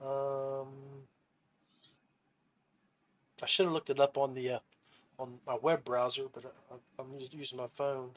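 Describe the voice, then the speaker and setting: A man hosting a casual interview podcast, speaking haltingly and often restarting his phrases. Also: medium pitch, flat delivery. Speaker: podcast host.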